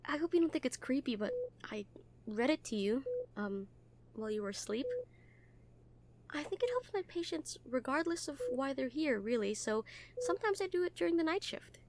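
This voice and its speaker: A woman's voice: high voice, lively intonation, moderate pace, slight background noise. A woman playing a kind, earnest nurse in an audio roleplay, talking to a patient in a hesitant, reassuring, conversational way. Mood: shy, confiding. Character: kind, earnest, gently teasing hospital nurse comforting a patient. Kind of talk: roleplay audio acting, soft comfort talk.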